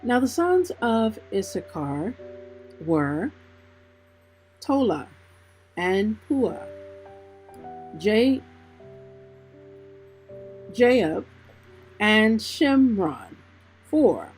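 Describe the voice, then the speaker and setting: Woman hosting a bible reading stream, unhurried, soft warm tone, bit of music behind. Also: mid-range voice, big pitch swings, rough recording. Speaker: host of a Bible reading livestream. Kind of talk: casual livestream hosting and scripture reading. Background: background music.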